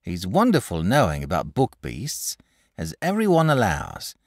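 A man's voice: deep voice, huge pitch swings, normal speed, no room sound, studio quality, exaggerated voice. A man voicing a sensible, kindly country mother with homely rustic speech.

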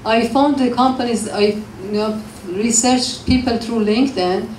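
A woman's voice: a little high, unhurried, darkish tone. Woman on a panel, handheld mic in a classroom, earnest practical advice, bit of room echo. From came to Canada as a newcomer. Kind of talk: panel discussion. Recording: echoey classroom, faint noise.

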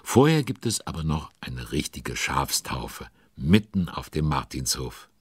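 A man narrating a children's audio drama as a genial storyteller, expressive and chatty with young listeners. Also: rumbling low, measured pace, breathy edge, dry recording, heavily acted. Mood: cheerful.

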